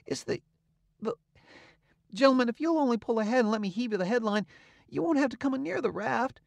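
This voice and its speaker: A man's voice: high voice, expressive, normal speed, no room sound, voice pushed up. A man voicing a frightened young boy, pleading and stammering. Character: frightened young boy on a raft, quick-talking.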